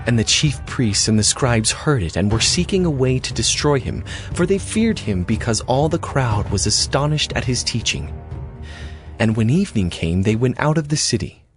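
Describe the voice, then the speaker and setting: Steady male scripture narrator. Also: mid-range voice, normal speed. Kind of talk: dramatized scripture reading. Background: music.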